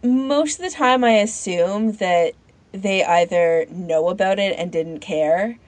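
A woman's voice: medium pitch, slow, a little mellow, no room sound. A woman co-hosting a podcast in casual chat, rambling informally as she thinks aloud. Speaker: podcast co-host.

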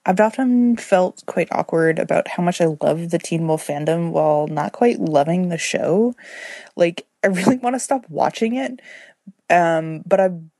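A woman giving informal, halting spoken feedback as a listener. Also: on the low side, expressive, vocal fry, dark tone, no room sound, clean studio sound.

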